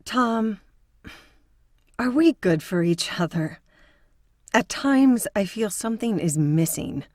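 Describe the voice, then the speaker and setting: A woman's voice: mid-range voice, animated, slow, a little mellow, no room sound, clean studio sound. A woman acting as an earnest, dissatisfied girlfriend in an audio drama. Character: earnest, dissatisfied female psychotherapist in a relationship.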